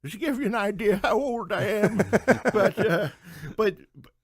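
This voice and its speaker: A man's voice: sits high, normal speed, breathy edge, no room sound, studio quality. A middle-aged man from Queens, New York, talking as an interview guest in a talkative, digressive, wryly joking way. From Queens, New York City. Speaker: interview guest, playwright and English professor. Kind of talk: anecdotal interview conversation.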